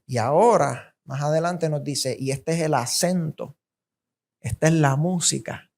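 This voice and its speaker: Slow-preaching Puerto Rican man. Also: vocal fry, a little mellow. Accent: Puerto Rican Spanish. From Puerto Rico. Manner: emphatic, rhythmic repetition, rising pitch on key points. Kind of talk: sermon.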